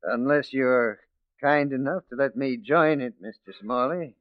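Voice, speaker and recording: An actor as a cool ex-convict forger, muffled. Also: male voice, mid-range voice, measured pace, dry recording, lightly acted. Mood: cool, polite. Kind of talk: 1940s radio drama acting. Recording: muffled 1940s radio recording.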